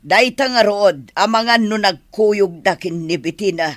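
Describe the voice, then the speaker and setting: A woman's voice: medium pitch, measured pace, dry recording, natural voice. A woman with an Ilocano accent playing an anxious madam in a radio drama. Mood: worried, suspicious. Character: anxious older madam blamed for a family tragedy.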